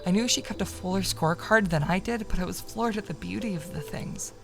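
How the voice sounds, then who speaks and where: A woman streamer reading a visual novel aloud as narration, expressive, sometimes stumbling and correcting herself. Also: medium pitch, a little fast, vocal fry, music in the background.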